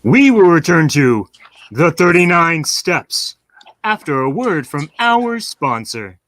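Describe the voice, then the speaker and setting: Man playing suave vintage radio announcer, unhurried pace, medium pitch, close dry sound. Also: big pitch swings, fairly bright tone, dry recording, exaggerated voice. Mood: suave, solemn. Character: suave, measured male announcer of a suspense radio play. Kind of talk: 1930s radio drama announcing.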